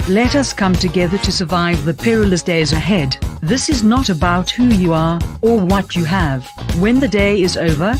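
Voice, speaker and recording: A woman reading a scripted ad voiceover in an urgent, warning way. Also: on the low side. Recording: voiceover over loud music.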